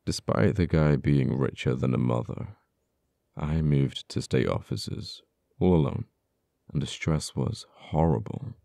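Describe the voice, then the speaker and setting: A man playing an uptight, wounded but earnest government official in an ASMR audio roleplay, speaking haltingly with stammers. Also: very deep voice, gravelly, warm tone, dry recording, studio quality, heavily acted. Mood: weary, bitter. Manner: halting, hesitant delivery with stammers. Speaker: voice actor.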